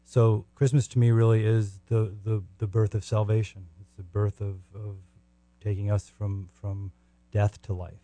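Very dark-voiced, low-pitched devout man. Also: little pitch movement, no echo. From United States. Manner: devout, halting phrasing with repeated words. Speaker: podcast guest. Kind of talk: earnest testimony and extemporaneous prayer.

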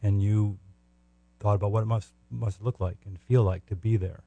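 A man from the United States speaking as a podcast guest in earnest, devout testimony and prayer, with halting phrasing and repeated words. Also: lowish voice, fairly even intonation, warm tone, breathy edge.

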